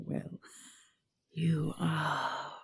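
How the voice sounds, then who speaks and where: A woman playing a regal queen and tender lover in a romantic fantasy audio roleplay, breathy and often whispering, with lingering hums and pauses. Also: sits low, fairly even intonation, mellow, exaggerated voice. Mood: adoring, intimate.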